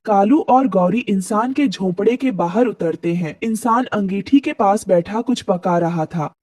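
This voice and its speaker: A woman's voice: medium pitch, unhurried, darkish tone, dry recording. A woman giving scripted narration for a cartoon story.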